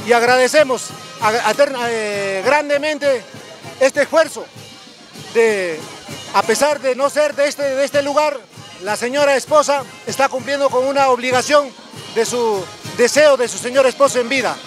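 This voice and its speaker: A man offers informal thanks as a fiesta guest. He is outdoors on a handheld mic, with music clearly heard behind. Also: very high voice, moderate pace, a bit bright. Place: outdoors at a crowded lunch table. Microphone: interviewer's handheld microphone. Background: music and outdoor sounds.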